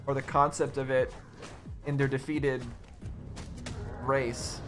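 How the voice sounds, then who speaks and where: Young man thinking aloud, halting delivery. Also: mid-range voice, little pitch movement, music in the background. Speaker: reaction video host. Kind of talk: casual off-the-cuff game commentary.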